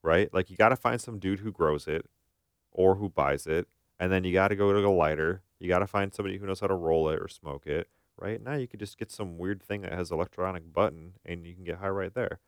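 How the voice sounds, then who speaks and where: A man's voice: low voice, fairly quick, clear, resonant tone, no room sound, clean studio sound. A man hosting a podcast in a casual conversational style, thinking aloud and often checking for agreement. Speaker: podcast host.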